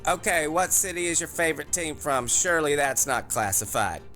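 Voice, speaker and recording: A man voicing an officious, well-groomed government interrogator in a suit, with a brisk, clipped, bureaucratic manner, in an audiobook. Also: fairly high pitch, expressive, pushed and bright, soft background music, voice pushed up. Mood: pressing.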